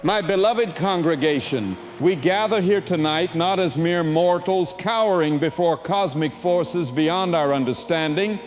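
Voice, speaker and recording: High-pitched fervent doomsday preacher played by a man. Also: muffled recording, slight background noise. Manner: grandiose, solemn scriptural oratory. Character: fervent male doomsday preacher, solemn and wrathful. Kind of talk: apocalyptic sermon in scripted audio drama.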